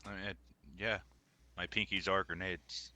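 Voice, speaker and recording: A man's voice: low voice, steady tone, normal speed, pushed and bright, a little hiss or hum. A man chatting casually as a player in a tabletop game. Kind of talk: casual chat.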